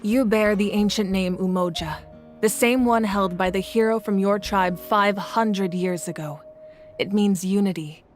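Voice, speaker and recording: A woman playing a composed, grave ruler in a video game, speaking in measured, deliberate lines. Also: mid-range voice, lightly acted. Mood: solemn, steady. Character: solemn, composed woman war-god ruler of a nation. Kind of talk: video game voice acting, dramatic exposition. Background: game music.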